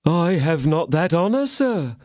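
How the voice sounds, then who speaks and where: A man's voice: a little high, big pitch swings, measured pace, a little mellow, dry recording, clean studio sound, pitched above the performer's own voice. A man voicing a frightened, fawning innkeeper who pleads in a lamenting tone. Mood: nervous. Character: trembling fawning middle-aged male innkeeper.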